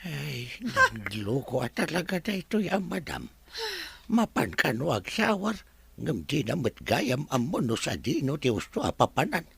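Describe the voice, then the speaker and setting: A man's voice: fairly high pitch, big pitch swings, measured pace, vocal fry, fairly bright tone, a little hiss or hum, exaggerated voice. A man playing a flustered, apologetic older driver with a hesitant, stammering delivery in an Ilocano radio comedy drama. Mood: apologetic, confused.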